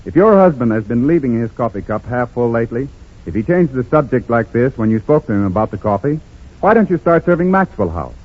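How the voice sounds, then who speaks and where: A man announcing a 1930s radio advertisement in a scripted sales pitch. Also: big pitch swings, fairly quick. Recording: tinny narrow-band 1930s radio recording.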